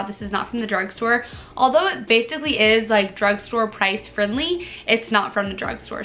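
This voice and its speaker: Bubbly young woman, a little hoarse. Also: medium pitch, a bit bright. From United States. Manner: bubbly, chatty, many "like" fillers. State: slightly hoarse from allergies. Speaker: beauty vlogger. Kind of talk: casual makeup tutorial chat.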